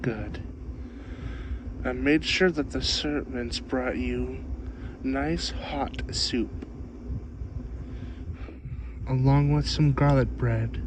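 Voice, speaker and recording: A man playing a possessive, hungry vampire in soft, breathy ASMR roleplay, doting on his captive human with lingering, savoring pauses. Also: noisy background, close to an everyday voice. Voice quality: soft, breathy low-volume voice.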